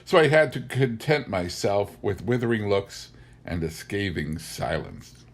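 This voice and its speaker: A man with a fairly low voice reads a humorous memoir aloud. His delivery is scripted and measured, with a wry storyteller's turn to the phrasing. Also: big pitch swings. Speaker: audiobook reader.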